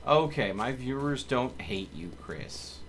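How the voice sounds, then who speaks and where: A man's voice: medium pitch, lively intonation, slow pace, fairly bright tone. Man chatting through live game commentary. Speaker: streamer hosting a multiplayer game. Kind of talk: casual live gameplay commentary. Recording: faint background noise.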